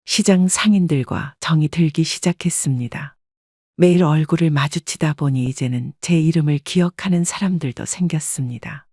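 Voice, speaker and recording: A woman calmly narrating a first-person life story. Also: low voice, normal speed, breathy edge, no room sound, clean studio sound.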